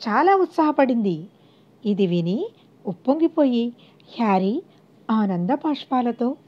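A woman narrating a scripted moral story as an audiobook storyteller, on a lo-fi recording. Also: sits high. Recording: dull, lo-fi voice recording.

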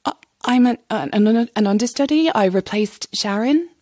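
Husky, timid young dancer. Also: female voice, fairly high pitch, expressive, normal speed, warm tone, dry recording, studio quality. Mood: nervous, apologetic. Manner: hesitant, stammering, apologetic. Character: timid, eager, unsettling young female chorus dancer. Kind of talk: audio drama acting.

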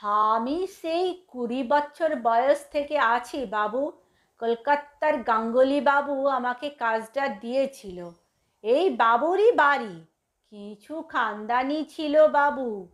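A woman voicing a humble, stooped old male caretaker in a story, with deferential, drawn-out rustic speech. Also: sits high, animated. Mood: wistful. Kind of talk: dramatised story reading.

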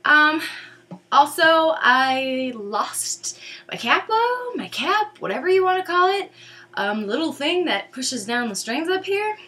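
Hoarse young woman chatting to webcam. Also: high-pitched, very animated, takes it slowly, pushed and bright. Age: young adult. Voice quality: raspy, cracky voice. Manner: chatty, rambling, self-deprecating. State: hoarse from screaming at a concert. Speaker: singer covering a song on ukulele. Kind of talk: casual chat to camera. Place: bedroom, indoors, seated on a bed. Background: ukulele strumming.

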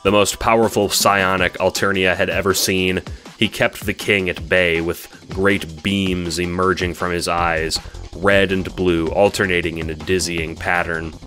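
A man narrating a scripted dramatic story in a solemn, portentous, measured manner. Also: deep voice, flat delivery, bright, forceful tone. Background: instrumental music with guitar.

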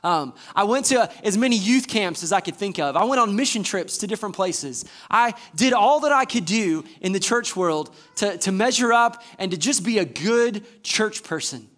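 Excited pastor guy in his 30s preaching on a church stage, fairly high voice, keeps asking the crowd stuff. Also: normal speed, few pauses. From Georgia, US. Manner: enthusiastic, gestures widely, asks the congregation questions. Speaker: assistant pastor. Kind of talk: sermon. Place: church stage before a screen.

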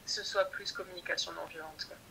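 A woman's voice: fairly low pitch, level tone, fairly quick, gravelly, pushed and bright, husky, rough recording. A woman speaking as a podcast guest about her teacher training, thoughtful and hesitant, often restarting her sentences. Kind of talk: conversational interview.

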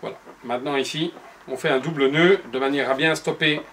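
A man's voice: medium pitch, fairly even intonation, a little fast, a bit bright, slight background noise. A man in his forties patiently giving step-by-step upholstery instructions in his workshop showroom, recorded on the camera mic. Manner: patient, explanatory, addresses viewer directly. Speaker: upholsterer demonstrating cushion making.

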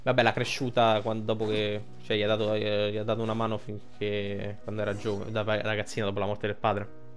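A man's voice: lowish voice, monotone, a little fast, soft background music. A young adult man giving casual, colloquial, emotionally invested game commentary, with some swearing. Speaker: game streamer.

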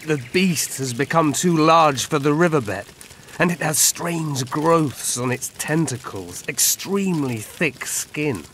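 Solemn, world-weary old elf. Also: male voice, fairly high pitch, lively intonation, a bit bright. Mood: grave, measured. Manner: measured, solemn, formal. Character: old male elf forest scout, world-weary and grave. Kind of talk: video game dialogue acting. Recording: clear ambient noise behind.

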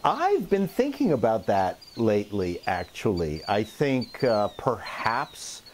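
A middle-aged man with a somewhat low voice trades dry, teasing jokes in a relaxed chat. He is seated outside on a lapel mic, with faint birds and crickets behind him. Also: slow pace, slight background noise. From Canada. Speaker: guest. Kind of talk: casual chat.

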